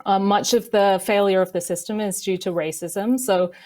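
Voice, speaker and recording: Measured woman explaining health research. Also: medium pitch, steady tone, darkish tone. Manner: measured, explanatory, with many "kind of" fillers. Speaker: medical professor, panelist. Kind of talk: panel discussion answers.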